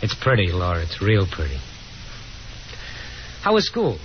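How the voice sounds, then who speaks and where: An actor playing a weary, sardonic, restless young son in 1950s radio drama style. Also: male voice, deep voice, huge pitch swings, measured pace, slight background noise, full character voice. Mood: gentle. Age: young adult. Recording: dull 1950s radio broadcast.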